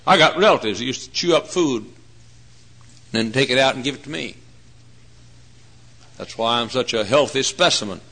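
A man in his forties preaching a folksy, humorous sermon, swinging between hushed asides and loud emphatic points. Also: medium pitch. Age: 40s. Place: church.

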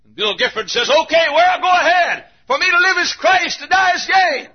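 An American man preaching a fervent revival sermon in a raspy, straining voice, impassioned and often shouting in a repetitive cadence. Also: animated, normal speed. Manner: impassioned, shouting, repetitive cadence, dramatic swings from low to loud. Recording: dull, muffled lo-fi sermon recording.

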